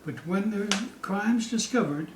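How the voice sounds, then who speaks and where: A man giving a theatrical poetry recital, dramatic and suspenseful, with drawn-out phrases moving between hushed dips and loud peaks. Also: sits high, animated. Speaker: poetry reader. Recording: distant, echoey room with faint hiss.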